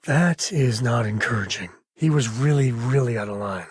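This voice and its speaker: A husky, wry spacefaring ranger from a video game, with a mid-pitched male voice. He talks in plain, folksy phrases like a protective, easygoing dad. The sound is close and dry. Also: animated, moderate pace, dry recording. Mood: serious. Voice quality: husky, raspy. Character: laid-back, protective spacefaring frontier ranger and devoted father. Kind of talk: video game character voice lines.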